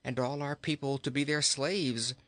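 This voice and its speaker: A man's voice: mid-range voice, fairly even intonation, normal speed, a bit bright, no room sound, pitched above the performer's own voice, natural voice. A man voicing an earnest, sorrowful young boy in a plain, subdued manner. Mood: sad.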